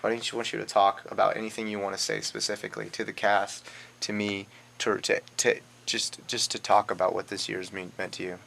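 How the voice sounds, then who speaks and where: Very deep, gravelly man. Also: little pitch movement, brisk, a bit bright. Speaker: drama director interviewing students. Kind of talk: casual off-camera interview prompting. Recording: faint background noise.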